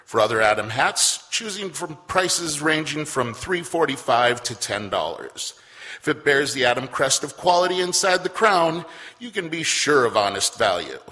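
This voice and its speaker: An actor playing a polished, emphatic announcer and pitchman in old-time radio style. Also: sing-song, normal speed, sharp tone. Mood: upbeat, persuasive. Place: theater stage. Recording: echoey theater stage.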